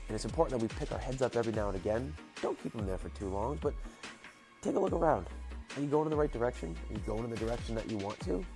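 A man hosting his daily show, talking casually and encouragingly, a bit stuffy and nasal from his sinuses, speaking slowly to enunciate. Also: mid-range voice, lively intonation, vocal fry, warm tone, soft background music. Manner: slow, careful enunciation. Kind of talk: casual motivational monologue.

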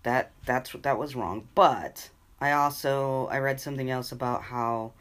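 A young woman co-hosting a casual podcast, her voice raspy from a cold, talking frankly and laughing often. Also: very deep voice, a little slow. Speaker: podcast co-host. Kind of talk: casual chat.

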